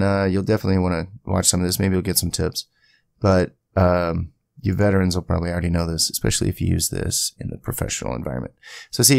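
Guy doing a laid out step by step pc tutorial, thinking out loud, gravelly, really dry close sound. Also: deep voice, no room sound. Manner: informal, thinking aloud. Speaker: tutorial host. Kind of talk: casual screen-capture software tutorial.

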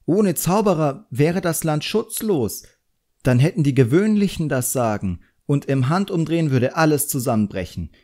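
A man's voice: a little high, expressive, normal speed, a little mellow, pitched above the performer's own voice, lightly acted. Man doing an earnest, touchy boy apprentice magician. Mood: indignant.

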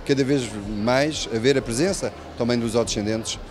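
A man's voice: mid-range voice, normal speed. A middle-aged man with a European Portuguese accent gives formal remarks in an interview. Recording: clear crowd noise behind.

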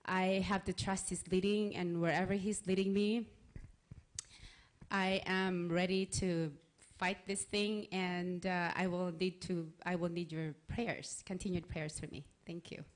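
A woman's voice: lowish voice, flat delivery, measured pace. A woman speaking earnestly into a handheld mic, sharing a personal testimony before a church congregation. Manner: earnest, conversational, with restarts. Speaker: church member giving a testimony. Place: church sanctuary, in front of a congregation.

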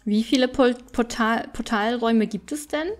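Higher-voiced woman gamer, chatting. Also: normal speed. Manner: chatty, thinking aloud. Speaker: gaming streamer and let's play host. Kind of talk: casual let's play commentary.

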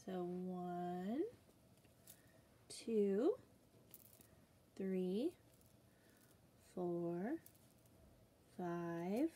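A young woman teacher reading a picture book aloud and counting slowly for young children in a small room, patient and sing-song. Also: fairly low pitch, mellow.

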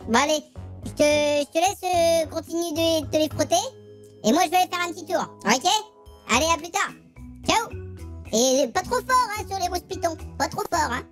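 A man's voice: very high-pitched, lively intonation, normal speed, fairly bright tone, soft background music. A man voicing a flirtatious male tiger avatar, teasing and joking with strangers in a prank video. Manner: teasing, joking, innuendo-laden. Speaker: prankster host. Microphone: headset.

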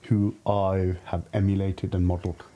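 A man's voice: deep voice, little pitch movement, normal speed, dull audio. A man in his early thirties from Pakistan, talking casually and candidly as a podcast guest in a reflective, informal way. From Lahore, Pakistan. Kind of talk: casual personal storytelling. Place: podcast studio. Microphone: studio podcast microphone.

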